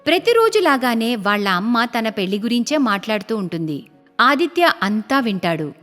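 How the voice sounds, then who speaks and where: High-voiced woman narrating in long reverb. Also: big pitch swings, normal speed, fairly bright tone. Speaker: story narrator. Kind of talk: scripted storybook narration for cartoon. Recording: long reverb, music behind. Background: background music.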